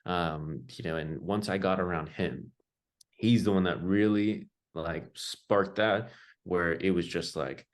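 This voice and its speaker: A man's voice: sits low, takes it slowly. A young man, telling his own story casually as an interview guest, in loose, informal phrasing. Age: young adult. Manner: informal, loose phrasing peppered with "like" and "you know".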